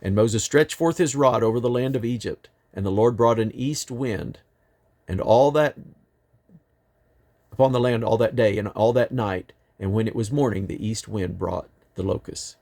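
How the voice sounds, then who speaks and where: A man reading the Bible aloud in deliberate, emphatic phrases, with an occasional stumble. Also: fairly low pitch, darkish tone. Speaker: Bible reader.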